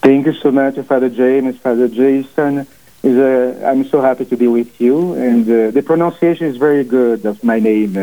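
A man's voice: mid-range voice, steady tone. Portuguese-accented man on a phone line. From family from Portugal. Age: 40s. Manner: halting, many fillers and restarts. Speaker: priest guest and author. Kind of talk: radio interview answers. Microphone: phone call into studio.

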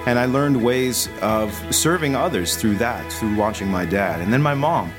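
A man's voice: mid-range voice, expressive, moderate pace. A man who is a priest, giving a sincere, reflective testimony in a recorded radio spot. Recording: spot with guitar music behind.

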